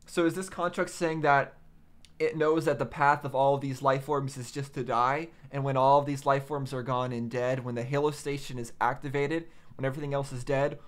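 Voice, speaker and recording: A man's voice: medium pitch, steady tone, normal speed. A man hosting a reaction video, giving casual game commentary as he questions and puzzles things out aloud.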